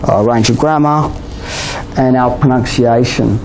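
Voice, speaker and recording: A man with an Australian accent teaches a live class in a casual, chatty way, tagging his points with quick questions to the room. His voice sits at a middle pitch, and light background noise runs under the recording. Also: steady tone. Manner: chatty, many "okay?" and "you know?" tags, laughs often. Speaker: IELTS teacher. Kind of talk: classroom lecture.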